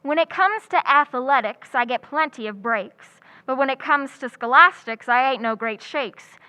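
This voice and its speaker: High bright voice, woman acting as lovelorn English teacher, dry wisecracks, theatrical old-time radio comedy. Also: moderate pace, rough recording. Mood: sardonic.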